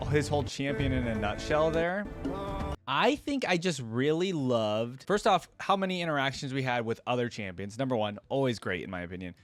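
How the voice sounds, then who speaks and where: A man hosting a reaction video, giving casual, enthusiastic and appreciative commentary close to the microphone. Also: mid-range voice.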